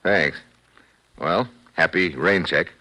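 An actor playing a tough, wisecracking American secret agent, wry and unflappable, in a 1950s radio drama. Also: male voice, deep voice, slow pace, sharp tone, exaggerated voice, low-quality recording. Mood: wry, easygoing. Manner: wry, hard-boiled, unflappable. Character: tough, wisecracking male secret agent hero, cool under threat. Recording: vintage 1950 radio recording, music behind.

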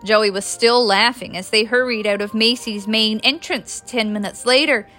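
A woman with a Newfoundland accent reading a story aloud as an expressive narrator. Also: fairly high pitch, moderate pace, faint music behind. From Newfoundland, Canada. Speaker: guest reader, author.

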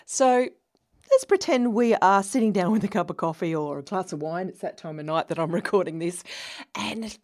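Australian woman in her fifties, lowish. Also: lively intonation, moderate pace, a little mellow. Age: 50s. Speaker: podcast host. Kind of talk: casual solo podcast monologue.

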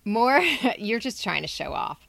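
A woman's voice: fairly high pitch, very animated, unhurried, gravelly, a bit bright, no room sound. A woman hosting a podcast, reading scripted introductions and announcements. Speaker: podcast host.

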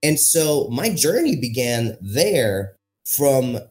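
Animated Indian-born man telling a story. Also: mid-range voice, slow. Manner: animated, shifting pace, emphatic retelling. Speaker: podcast guest, speaker. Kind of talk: storytelling interview answer.

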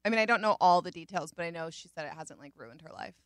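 Bright-voiced young woman, matter-of-fact. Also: lowish voice, quick pace, smooth, no echo, studio quality. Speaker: podcast guest. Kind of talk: casual chat.

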